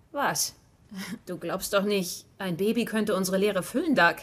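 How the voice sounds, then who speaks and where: An actress in a dubbed sitcom playing a sharp, assertive wife with a pointed, insistent way of talking. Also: female voice, mid-range voice. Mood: frank. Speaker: dub actor in a sitcom.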